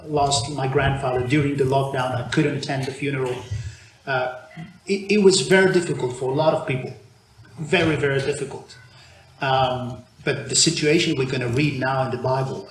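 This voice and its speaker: Argentine-preacher, echoey church. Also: male voice, mid-range voice. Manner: conversational, with frequent restarts and fillers. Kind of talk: sermon. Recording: echoey church room with faint background noise.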